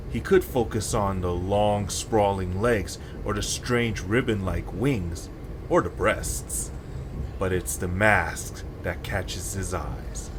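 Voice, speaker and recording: A man's voice: low-pitched, background noise. A man giving scripted, dramatic narration for a story.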